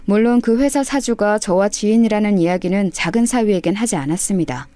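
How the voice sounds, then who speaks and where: A woman's voice: medium pitch, fairly quick, mellow, soft background music. A woman reading a scripted first-person life story in a radio story style. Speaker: storyteller narrator.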